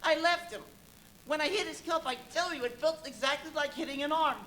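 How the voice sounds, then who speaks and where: Radio play: actress as earnest, rattled villager, dramatic storyteller, high bright voice, faint live noise. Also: female voice, brisk. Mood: agitated, insistent. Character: rattled, earnest villager recounting an uncanny encounter. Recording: faintly noisy live stage recording.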